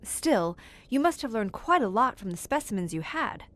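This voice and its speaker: A woman's voice: fairly high pitch, big pitch swings, normal speed, fairly bright tone. An actress playing an inquisitive, earnest young woman visitor in an audio horror drama, with proper, careful phrasing. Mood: curious, concerned.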